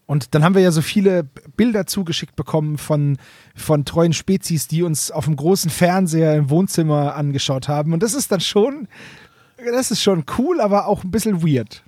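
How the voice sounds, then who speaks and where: Male co-host, slightly high register, very dry sound, relaxed conversational podcast delivery. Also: moderate pace, no room sound. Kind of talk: casual podcast chat.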